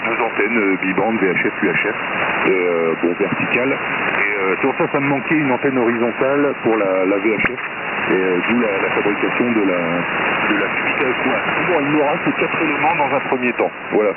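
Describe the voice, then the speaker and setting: A man's voice: fairly low pitch, expressive, brisk. A man from France chatting casually over amateur radio, hesitant and enthusiastic. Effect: radio transmission. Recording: very noisy, hissy narrowband ham radio signal. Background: loud radio hiss.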